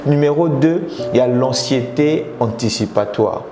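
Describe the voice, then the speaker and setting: A man's voice: mid-range voice, normal speed. A man from Congo, a public speaking coach hosting a live stream, teaching in numbered points. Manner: teaching in numbered points, urging viewers to share. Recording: echoey room with loud guitar music behind. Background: loud guitar music.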